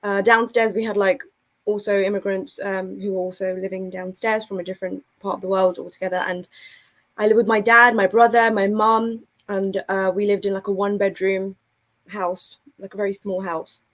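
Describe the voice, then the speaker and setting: Woman, London accent, medium pitch, candid podcast guest, conversational personal storytelling. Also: level tone, normal speed, a bit bright, rough recording. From UK, born in India to an Afghan family, moved at three. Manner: conversational, frequent "like" and "you know". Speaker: podcast guest, author and speaker.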